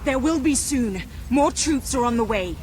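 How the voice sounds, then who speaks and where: High-voiced bold rebel leader. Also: female voice, lively intonation, normal speed, a little hiss or hum. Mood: businesslike, urgent. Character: bold, practical female rebel leader in battle. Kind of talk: video game voice acting. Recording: loud music and gunfire behind. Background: loud music, gunfire.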